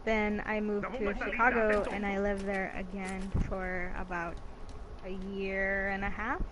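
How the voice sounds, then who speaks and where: Young woman from Mexico, Spanish native, casual guest, chatty, clear background noise. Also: mid-range voice, level tone, a little slow. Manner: chatty, filler-heavy with "like". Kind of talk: casual interview chat.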